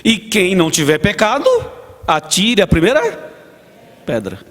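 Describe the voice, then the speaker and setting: A man's voice: high voice, wildly swooping pitch, unhurried, a bit bright. A man with a Brazilian Portuguese accent, a pastor preaching a sermon, telling stories, acting out dialogue and asking the congregation questions. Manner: storytelling, acts out dialogue, asks the congregation. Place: church hall. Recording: long church reverb.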